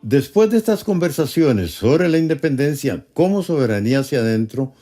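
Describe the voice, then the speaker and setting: A man narrates a documentary in a warm, mellow voice. His pitch moves a lot, giving the scripted lines an animated, expressive sound. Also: moderate pace, soft background music.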